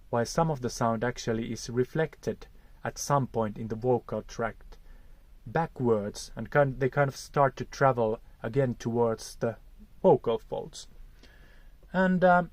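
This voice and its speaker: A man's voice: medium pitch, a little slow, no room sound. Finnish-accented man teaching voice acoustics. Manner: careful, hesitant, searching for words. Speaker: vocal technique teacher. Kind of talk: explanatory lesson on acoustics.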